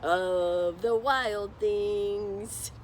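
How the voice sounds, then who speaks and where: A woman voicing a bold, bossy little boy in a children's storybook, giving commanding, drawn-out proclamations. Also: medium pitch, fairly even intonation, slight background noise.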